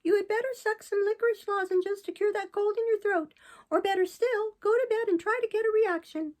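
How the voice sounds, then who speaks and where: A woman voicing a cheeky wooden puppet boy in a storybook reading, speaking in a high, piping voice. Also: brisk. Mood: mocking.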